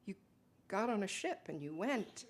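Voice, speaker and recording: A woman performing an earnest, conflicted Mennonite wife in a dramatic stage reading, halting and emotional. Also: mid-range voice, very animated. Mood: wistful.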